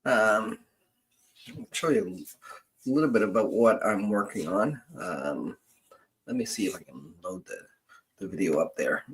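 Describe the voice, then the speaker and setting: A middle-aged man hosting a casual livestream as a genealogist, rambling and thinking aloud in a halting way. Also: on the low side, expressive, husky, poor audio.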